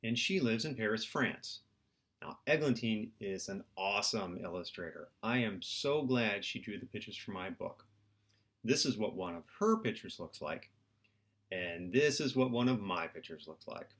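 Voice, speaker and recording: A man's voice: on the low side, lively intonation, normal speed, fairly bright tone. A middle-aged man, a children's book author, talking and reading in a storytime style with simple explanations and questions to his listeners.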